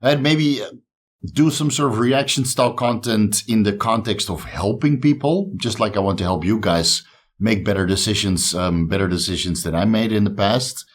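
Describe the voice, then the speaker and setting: A man's voice: fairly low pitch, normal speed. A man from the Netherlands with a Dutch accent and a slight speech impediment, talking casually and upbeat to his viewers as a YouTube host. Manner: upbeat, encouraging. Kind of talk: casual talk to camera.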